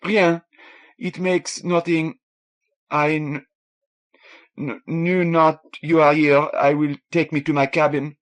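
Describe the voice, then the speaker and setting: A man voicing a timid, distraught French woman who speaks halting, stilted English in a small voice for an audiobook. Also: on the high side, level tone, voice pushed up. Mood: flustered, tearful. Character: timid, distraught young Frenchwoman, tearful and devout in scruple.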